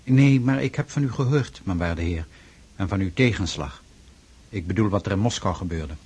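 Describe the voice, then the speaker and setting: An actor playing a stern, pious Russian freemason in a radio drama, speaking formally and insistently with a husky voice. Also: male voice, sits low, a little mellow. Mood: grave, courteous. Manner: formal, measured, preaching, insistent.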